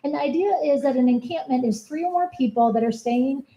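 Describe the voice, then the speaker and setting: A woman giving a soft-spoken, earnest presentation as a city program manager at a town hall meeting. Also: high voice, a little fast. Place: community meeting room.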